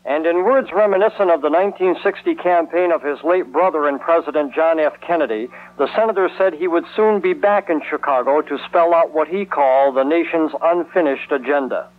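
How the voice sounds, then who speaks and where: A man's voice: a little high, fairly quick, hardly any pauses. An American man delivering a scripted news report as a radio reporter. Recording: narrow, tinny 1970s radio sound.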